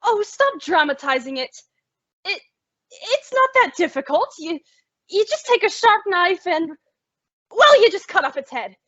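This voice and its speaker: A woman's voice: very high voice, sing-song, a little slow, bright, forceful tone, no echo, full character voice. A woman playing a sensible, exasperated roommate with a sardonic, dramatic delivery in old-time radio comedy. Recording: dull lo-fi radio-style recording.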